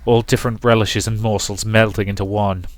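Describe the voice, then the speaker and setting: A low, close, dry voice for a seductive incubus, acted out by a man. He is ravenous and obsessive, lingering on words in a hushed, gloating way, as in a dark visual novel. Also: little pitch movement, fairly quick, dry recording, natural voice. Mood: ravenous, savouring. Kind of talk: dramatic visual novel reading.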